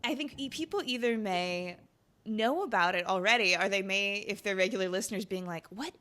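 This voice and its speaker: A woman hosts a podcast interview in a relaxed, chatty way. She is enthusiastic, laughs easily and strings thoughts together with fillers. Her pitch sits in a middle range. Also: fairly quick. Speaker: podcast host. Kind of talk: casual interview hosting.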